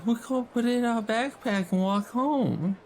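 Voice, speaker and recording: A man's voice: very high-pitched, moderate pace, a little mellow, a little hiss or hum. A man playing a tabletop role-playing game, improvising in character with casual, slangy, sweary speech.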